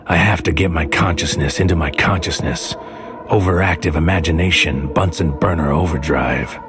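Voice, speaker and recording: A man playing a brooding, paranoid hitman, delivering a deliberate, poetic inner monologue in a surreal audio drama. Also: a bass voice. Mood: brooding, haunted. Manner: deliberate, poetic, often dropping into whispers. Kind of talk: scripted noir-like audio drama narration. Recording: music under the voice. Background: music.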